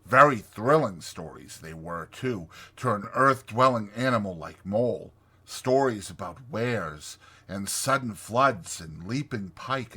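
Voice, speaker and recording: A man reading a classic storybook as an audiobook narrator, in an expressive scripted style. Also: fairly low pitch, slightly rough.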